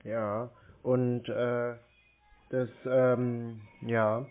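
A man's voice: mid-range voice, flat delivery, very slow. A man with a mid-range voice plays the naive customer on a prank call. He hesitates and rambles as he talks. His voice comes through a muffled, narrow phone line. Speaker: prank caller posing as a customer. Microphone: telephone.

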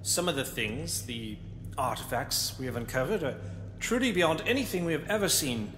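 A man's voice: a little high, animated, normal speed, a bit bright. A man playing a deferential, nervous military commander in an audio drama, with a hesitant, stammering manner. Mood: deferential, reporting. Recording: echoey cavern reverb, music behind.

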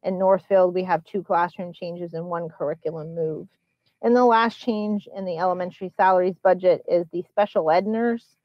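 A woman presenting a school budget at a public hearing, explaining line items and figures in a measured, explanatory way. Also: on the low side, dry recording, dull audio. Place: public meeting.

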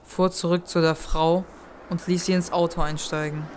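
A woman's voice: fairly low pitch, flat delivery, moderate pace, a little mellow, slight background noise. A woman reading scripted narration as the narrator of an audio play.

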